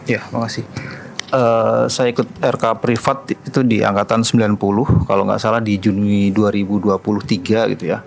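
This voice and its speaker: Gravelly, even-toned Jakarta-area man. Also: on the low side, normal speed. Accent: Indonesian, greater Jakarta. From Bekasi, Indonesia. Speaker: training participant giving a testimonial. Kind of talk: casual spoken testimonial. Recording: faint background noise, room ambience.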